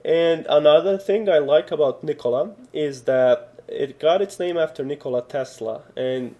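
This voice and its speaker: A man's voice: fairly high pitch, normal speed, warm tone, lo-fi sound. A man from Serbia giving a casual coding tutorial, rambling with many fillers and self-corrections. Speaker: programming tutor.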